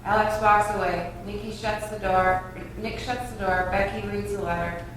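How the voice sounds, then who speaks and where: A woman's voice: mid-range voice, steady tone, normal speed. Woman narrating a script, very echoey. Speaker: stage direction reader. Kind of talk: scripted narration at a table read. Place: reverberant room, far from mic. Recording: echoey room, distant mic, faint noise.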